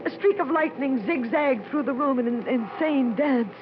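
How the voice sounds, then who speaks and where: Actress playing determined young woman, anxious, breathless, very high voice, 1940s radio melodrama, muffled band. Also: moderate pace, lightly acted. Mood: frightened narrating. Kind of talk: 1940s radio horror acting. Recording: noisy, muffled old radio recording.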